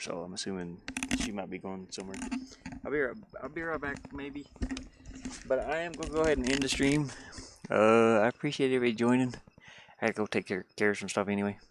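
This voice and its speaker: A man chatting casually on a livestream through a headset, thinking aloud in a rambling, musing way and often questioning himself. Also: on the low side. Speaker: live streamer learning game design.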